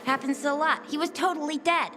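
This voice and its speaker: A woman's voice: high-pitched, steady tone, a little fast, a bit bright, faint music behind. A woman playing a brash, streetwise ten-year-old scavenger girl in a video game, cheeky and slangy. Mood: offhand. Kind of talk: video game cutscene acting.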